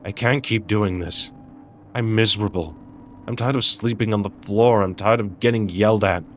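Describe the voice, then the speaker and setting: A man's voice: on the low side, normal speed, slight background noise, close to an everyday voice. Man acting a weary, subdued, apologetic young man. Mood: miserable, weary. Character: weary, conflict-averse young man, apologetic but firm friend. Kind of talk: dramatic dialogue acting.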